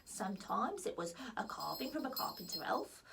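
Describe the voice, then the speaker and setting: Animated woman reading a children's story. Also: medium pitch, normal speed, gravelly, distant mic, slight background noise. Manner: expressive, with whispers and laughs.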